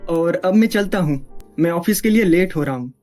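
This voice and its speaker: Woman voicing an earnest young husband, mellow tone. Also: on the low side, expressive, normal speed, faint music behind, pitched below the performer's own voice, lightly acted. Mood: caring. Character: dutiful, firm young husband and son. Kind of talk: dramatized family story.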